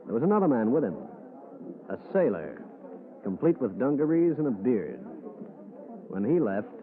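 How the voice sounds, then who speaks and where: A man's voice: medium pitch, sing-song, normal speed, crowd noise, lightly acted. Figure: a man doing a wisecracking hardboiled detective. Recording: thin phone-like vintage broadcast. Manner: dry, wisecracking. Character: hardboiled male private detective. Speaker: narrator. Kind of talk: 1940s radio acting. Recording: tinny old radio broadcast.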